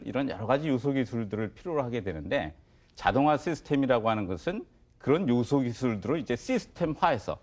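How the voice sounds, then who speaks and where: A man giving explanatory answers as an expert in an interview. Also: medium pitch.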